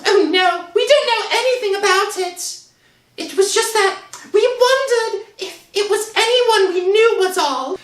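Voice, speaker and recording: Woman acting very high-pitched, nervous young wife. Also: unhurried. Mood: evasive. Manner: pleading, brushing things off. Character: evasive, insistent young wife, protective and nervous. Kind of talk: old-time radio drama acting. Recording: echoey room sound.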